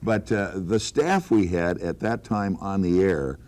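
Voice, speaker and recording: An older man with a deep voice looks back on his working life. He is a veteran broadcaster, speaking in a casual conversational way among old colleagues. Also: lively intonation, a little slow, darkish tone.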